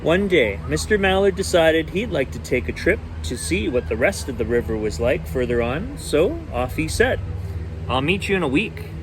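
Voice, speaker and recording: A man's voice: fairly high pitch, normal speed, hardly any pauses. A man reading a children's storybook aloud as a bedtime story, with narration in an everyday voice. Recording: clear steady background noise.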